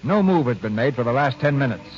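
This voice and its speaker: A man's voice: mid-range voice, lively intonation, fast. Old-time radio announcer man, music behind. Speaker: radio drama host and announcer. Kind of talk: 1940s radio announcing, scripted narration. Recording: dull old radio broadcast.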